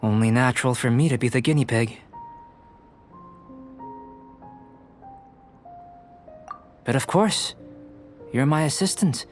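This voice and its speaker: A man playing a calm, analytical young alchemist in a video game, speaking in a measured, precise and formal way. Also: medium pitch, soft background music, lightly acted. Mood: composed, satisfied. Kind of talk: video game character acting.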